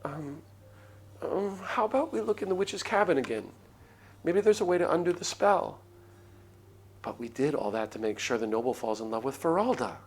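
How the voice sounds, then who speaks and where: A man voicing an anxious, soft-hearted village woman in a visual novel, hesitant and pleading. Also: on the high side, animated, moderate pace, husky, pitched above the performer's own voice. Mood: worried, pleading. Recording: music behind the voice.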